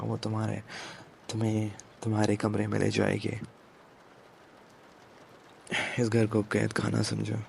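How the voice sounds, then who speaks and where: A man playing a commanding, protective mafia boss in an ASMR romance roleplay, speaking in a hushed, deliberate way with English words mixed in. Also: a little hiss or hum. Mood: calm, controlling. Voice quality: low, gravelly, breathy at times. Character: commanding yet protective male mafia boss, tender with his captive.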